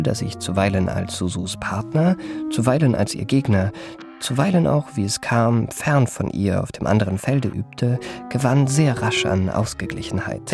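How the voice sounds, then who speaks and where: Radio play narrator: young gentleman impostor, self-satisfied, ornate phrasing, mid-pitched with some gravel. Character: smooth-tongued young male impostor, vain, florid storyteller.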